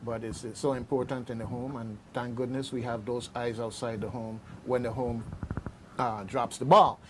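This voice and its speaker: Halting man moderating, faint background noise. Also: medium pitch, level tone. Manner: halting, repeats words. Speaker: discussion host. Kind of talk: informal moderated discussion.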